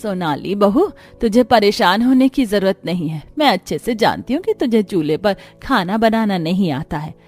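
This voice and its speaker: A woman's voice: mid-range voice, animated, normal speed, few pauses, darkish tone, faint music behind, close to an everyday voice. A woman voicing a kindly, reassuring middle-aged village mother-in-law in a cartoon story, motherly and affectionate.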